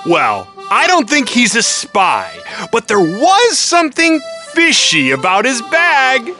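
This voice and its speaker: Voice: medium pitch, wildly swooping pitch, slow pace, bright, forceful tone, faint music behind, exaggerated voice. An actor voicing a friendly, goofy and playful talking pet dog in a children's cartoon.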